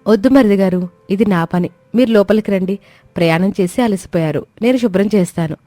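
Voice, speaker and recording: A woman's voice: medium pitch, a little fast, warm tone, no echo, soft background music, lightly acted. A woman voicing a meek, dutiful young daughter-in-law who is polite, deferential and composed in a family story. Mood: soothing, submissive.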